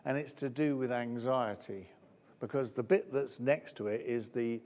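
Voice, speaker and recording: A man's voice: moderate pace, darkish tone. A man presenting a conference workshop, chatty and jokey as he answers questions from the audience. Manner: jokey, chatty, jumps to high squeaky voices when acting out others. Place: conference hall. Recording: long reverb of a large hall.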